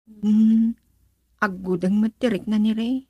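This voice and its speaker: A woman's voice: mid-range voice, fairly even intonation, slow pace, dark tone, breathy edge, no echo. A young woman with a Filipino accent acting a hesitant, earnest daughter who is considering marriage in a radio drama.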